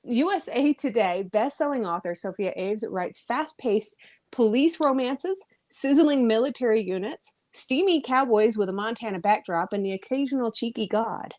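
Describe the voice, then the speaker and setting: A woman's voice: fairly high pitch, expressive, normal speed, darkish tone. A woman hosting a casual, chatty interview in an effusive, exclaiming way. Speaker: podcast host and interviewer.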